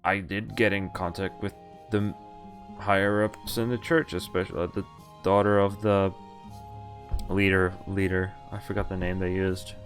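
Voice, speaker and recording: Male streamer, low voice, reads visual novel text aloud with offhand reactions; faint music bed. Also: little pitch movement. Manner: plain reading voice broken by quick reactions and chuckles. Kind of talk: reading game text aloud with casual commentary. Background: faint game music.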